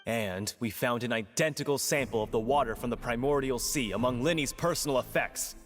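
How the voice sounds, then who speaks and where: A dutiful guardsman from a video game, voiced by a man, with a medium-pitched voice. He reports formally and matter-of-factly, his words trailing long reverb over faint music. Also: fairly quick, lightly acted. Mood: businesslike.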